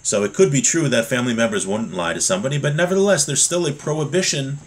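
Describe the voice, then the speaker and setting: A man's voice: medium pitch, lively intonation, quick pace. A man giving a religious lesson, reading Hebrew phrases aloud and then explaining them plainly in English. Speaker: religious teacher.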